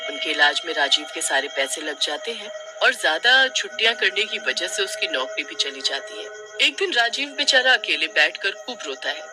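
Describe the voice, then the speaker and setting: A man narrating a scripted cartoon horror story as a storyteller. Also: on the high side. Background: music.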